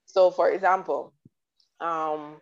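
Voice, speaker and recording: Woman, from Barbados, enthusiastic, lower pitch, dull recording. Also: fairly even intonation, slow, gravelly. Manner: enthusiastic, detailed, laughs often. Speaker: guest commentator. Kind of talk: casual sports chat. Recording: dull lo-fi audio.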